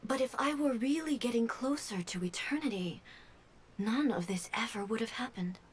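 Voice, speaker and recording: An actress playing a calm, regal female ruler who speaks in a measured, formal way. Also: fairly high pitch, slight background noise, lightly acted. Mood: reflective.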